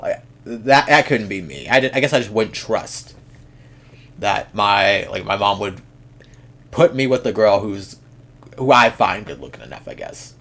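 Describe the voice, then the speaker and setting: A man's voice: fairly low pitch, lively intonation, unhurried, gravelly, a bit bright. A young man hosting a reaction video, talking casually and frankly, with lots of restarts and swearing. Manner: rambling, frank, swears freely, many restarts.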